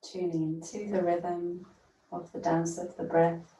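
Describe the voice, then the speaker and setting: Slow, low-voiced woman guiding meditation. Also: completely flat delivery. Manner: gentle, soothing, unhurried with long pauses. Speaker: yoga nidra teacher. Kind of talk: guided meditation. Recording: roomy echo, distant from mic.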